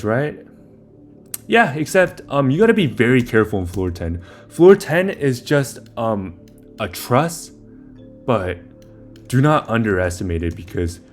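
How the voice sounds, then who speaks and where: A young man giving a casual, informal game walkthrough, rambling a little as he talks through each step while playing. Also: on the low side. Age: young adult. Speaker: video maker giving game guide.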